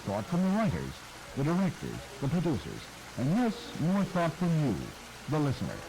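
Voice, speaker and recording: Animated male narrator, dramatic phrasing. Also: fairly high pitch, moderate pace, creaky. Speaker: host of radio nostalgia documentary. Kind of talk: scripted narration addressing the listener. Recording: noisy archive audio under rain.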